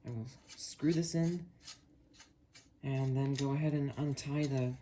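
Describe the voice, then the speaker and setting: Hobbyist vlogger, medium pitch, casual unboxing narration, halting, thinking aloud, faint noise and clicks. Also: fairly even intonation, warm tone. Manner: halting, thinking aloud while busy with hands. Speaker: hobbyist video creator. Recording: noisy, with clicks and handling sounds.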